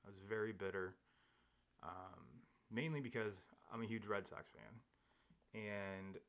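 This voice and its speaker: A man's voice: lowish voice, slow pace, fairly bright tone. A man hosting a live sports podcast, chatting casually and rambling with many restarts and fillers. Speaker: podcast host. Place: home studio, seated across from co-host.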